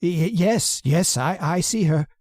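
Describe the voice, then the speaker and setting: A woman's voice: lowish voice, lively intonation, slow, warm tone, no room sound. A woman playing a meek, nervous, henpecked husband who stammers timidly in an old-time radio horror drama. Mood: uneasy.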